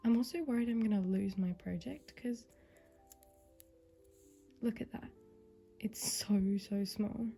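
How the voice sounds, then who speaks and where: A young woman vlogging casually about her crochet at home, her voice croaky and a bit nasal from a cold. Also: mid-range voice, a little slow, long pauses, soft background music. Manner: soft, chatty, relaxed, sometimes whispering. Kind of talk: casual vlog narration.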